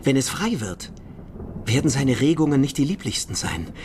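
An actor playing an intense young visionary in a radio drama, declaiming solemnly and prophetically. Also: male voice, mid-range voice, big pitch swings, moderate pace, background noise, close to an everyday voice. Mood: solemn, fervent. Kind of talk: German literary radio drama acting.

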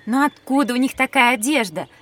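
A woman playing a bright, curious child who speaks articulately in an educational audio drama. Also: high-pitched, expressive, fairly quick. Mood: curious, knowing. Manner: inquisitive, articulate. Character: bright curious child on a time-travel lesson.

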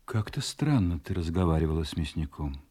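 Husky, menacing, controlling husband. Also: male voice, a bass voice, lively intonation, brisk, dark tone, heavily acted. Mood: cold, watchful. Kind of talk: Russian audio drama acting.

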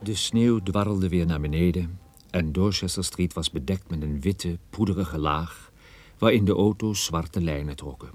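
An actor playing a wry, gloomy male private detective, narrating a radio play in a dry, ironic, measured way. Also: deep voice, lightly acted. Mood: gloomy, reflective.

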